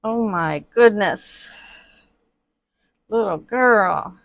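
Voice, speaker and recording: A woman chatting casually while working at a table, with many fillers, softly murmuring and sniffling a little from allergies. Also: medium pitch, drawn-out words, no echo. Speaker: reborn doll artist. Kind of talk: casual crafting tutorial vlog. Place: indoors at a worktable.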